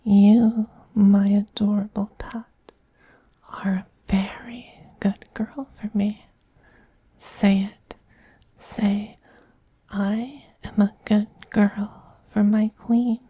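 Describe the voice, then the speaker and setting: A man voicing a doting, possessive femboy vampire queen in a soft, breathy whisper, slow, tender and gently commanding, as in an ASMR roleplay. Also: high voice, level tone, dry recording, heavily acted, poor audio. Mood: gently dominant.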